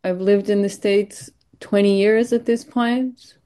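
A woman's voice: medium pitch, steady tone, unhurried, dark tone. A woman born and raised in Israel, speaking earnestly as an event organizer in an interview, with frequent fillers. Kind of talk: casual interview answers.

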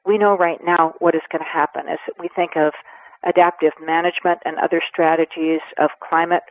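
A woman in her seventies giving a radio interview, talking earnestly and with emphasis, pausing to weigh her words. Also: sits low, little pitch movement, no room sound. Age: 70s. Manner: earnest and emphatic, with measured pauses. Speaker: conservation advocate guest. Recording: tinny phone line, dry.

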